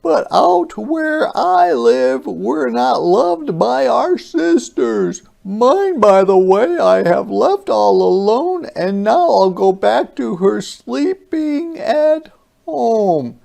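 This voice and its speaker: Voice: mid-range voice, big pitch swings, slow pace, vocal fry, warm tone, voice pushed up, exaggerated voice. A storybook reader voicing a huge, grumpy space alien, bellowing indignantly in rhyme. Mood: firm, wistful.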